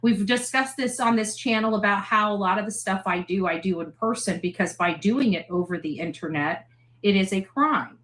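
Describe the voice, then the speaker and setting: A woman's voice: mid-range voice, normal speed, fairly bright tone, rough recording. A woman speaking in a casual vlog-style monologue as a livestreamer. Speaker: livestreamer heard in played clips.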